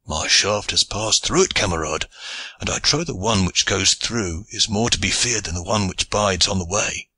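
A man's voice: deep voice, lively intonation, moderate pace, pushed and bright, husky, no echo, exaggerated voice. A man voicing a cocky veteran archer with a sly, teasing manner.

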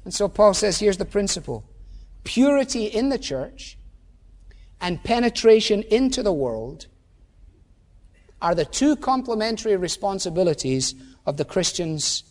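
A man's voice: high voice, sing-song, unhurried, pauses often, big-room sound. A man preaching a sermon as pastor, emphatic and full of rhetorical questions.

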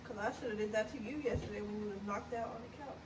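A woman's voice: mid-range voice, fast. A young American woman bantering casually in a grumpy, just-woken, complaining way, picked up across a bedroom by a phone. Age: young adult. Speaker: vlogger's girlfriend. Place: bedroom, lying in bed away from the camera. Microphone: distant phone camera. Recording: distant phone pickup, noisy background.

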